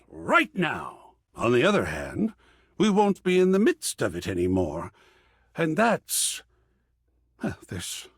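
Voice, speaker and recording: A flamboyant, art-besotted video game character, theatrical and effusive. The voice is husky and a little high for a male, and it swings dramatically up and down as he savours his words. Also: fairly bright tone, dry recording, clean studio sound, full character voice. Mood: wistful. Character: flamboyant, gushing, art-obsessed artwork come to life, male.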